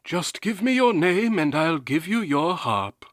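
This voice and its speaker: A man playing a genial, officious Saint Peter who pitches products in an old-time radio commercial, with a stately delivery. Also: big pitch swings, measured pace, dry recording, clean studio sound.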